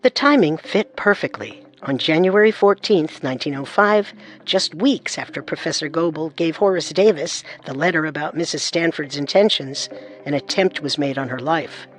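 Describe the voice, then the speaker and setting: A woman narrating a scripted true-crime story as co-host, composed and deliberate. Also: deep voice.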